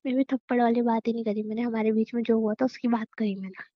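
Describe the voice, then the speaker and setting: A woman's voice: a little high, level tone, fast talker, natural voice. A young woman playing an exasperated, scolding girlfriend in a staged phone-call argument, insistent and repeating herself, on a dull call recording. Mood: frustrated.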